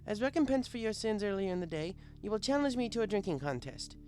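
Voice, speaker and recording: Man putting on an imperious high-voiced pony princess. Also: big pitch swings, fast talker, darkish tone, a little hiss or hum. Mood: commanding. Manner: commanding, archaic royal speech with the royal we. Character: imperious, excitable pony princess, regal and boozy. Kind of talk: character voice in story reading.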